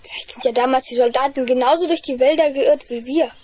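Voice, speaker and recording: A woman's voice: high-pitched, fast, a little mellow, slight background noise, lightly acted. A woman playing a doubting, know-it-all young boy in a children's radio play, blunt and questioning.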